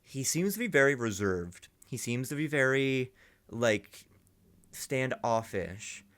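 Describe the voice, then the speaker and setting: A man's voice: mid-range voice, animated, takes it slowly, a bit bright. A man hosting a reaction video, musing aloud in casual commentary and often repeating himself.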